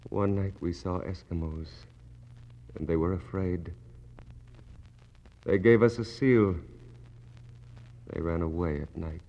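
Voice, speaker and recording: An actor playing a doomed, starving polar expedition officer in a 1960s radio drama, despairing yet resolute, with slow, halting, incantatory delivery and a gravelly voice. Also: male voice, sits low. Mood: bleak exhaustion. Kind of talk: 1960s radio drama acting, poetic monologue. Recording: muffled, noisy 1960s radio recording.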